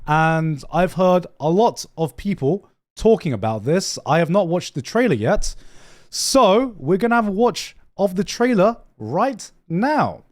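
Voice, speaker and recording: A man's voice: high-pitched, sing-song, unhurried, darkish tone. A young man casually reacting to an anime trailer on a live stream, swearing freely, laughing often and repeating himself as he thinks aloud. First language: not Japanese.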